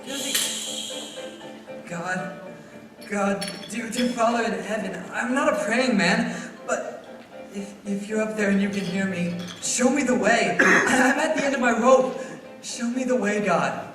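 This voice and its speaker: Radio-play lead, male actor: young family man at his wits' end, pleading, halting. Very echoey, distant theater sound. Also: high-pitched, a bit bright. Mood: desperate, pleading. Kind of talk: live radio play acting. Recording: echoey hall, distant microphone, faint music.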